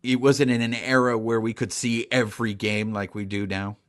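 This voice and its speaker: A man's voice: on the low side, flat delivery, normal speed. A man hosting a podcast, asking a guest casual questions in an upbeat, friendly way. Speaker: podcast host.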